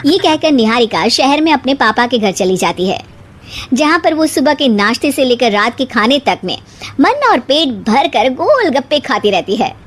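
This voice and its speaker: A woman narrator reading a scripted animated moral story for children. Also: fairly high pitch.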